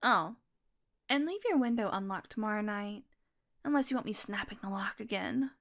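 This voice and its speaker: A woman's voice: medium pitch, lively intonation, normal speed, fairly bright tone. A woman playing a sardonic, flirty female vampire in an intimate audio roleplay, talking casually and teasingly.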